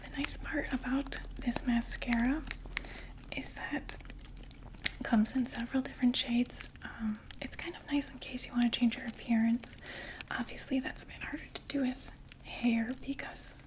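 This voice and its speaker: A young woman voicing a chatty undead vampire makeup saleswoman in an ASMR roleplay, talking in soft whispered sales patter with fillers. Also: fairly high pitch, normal speed, natural voice, rough recording. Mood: soothing.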